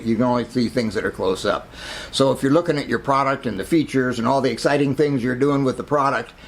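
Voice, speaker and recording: Gravelly-voiced older male marketing professor. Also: mid-range voice, a bit bright, a little hiss or hum. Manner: halting, many fillers and restarts. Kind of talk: casual video lecture.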